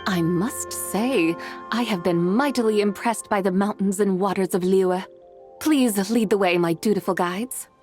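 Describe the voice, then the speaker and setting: A woman's voice: medium pitch, measured pace, darkish tone, close to an everyday voice. Woman as lofty, learned ancient goddess over music. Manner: lofty, formal, archaic diction. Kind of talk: video game voice acting.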